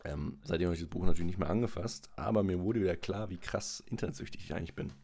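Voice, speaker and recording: A man with a very low voice tells small everyday stories in a relaxed, self-mocking way. He talks loosely, with fillers and little asides. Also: fast, vocal fry. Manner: laid-back, self-mocking storyteller. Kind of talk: casual chat.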